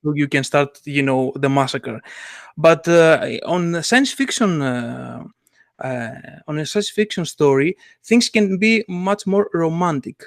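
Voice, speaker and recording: Man, casual chat, halting, restarts, fairly high voice, dry recording. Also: expressive, gravelly, dry recording. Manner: halting, many restarts and fillers.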